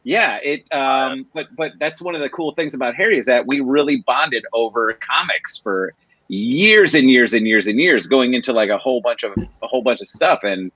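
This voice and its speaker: A man, a voice actor guest, talking enthusiastically in fast bursts and repeating himself. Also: mid-range voice, lively intonation, a bit bright, no echo, lo-fi sound. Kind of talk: casual podcast interview.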